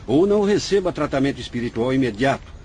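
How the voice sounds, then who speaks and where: A man's voice: mid-range voice, lively intonation, warm tone, slight background noise, natural voice. A man with a Brazilian accent playing a venerable, patient old spirit doctor who explains solemnly, in a religious radio drama. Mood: solemn, instructive. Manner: didactic, measured, solemn explaining.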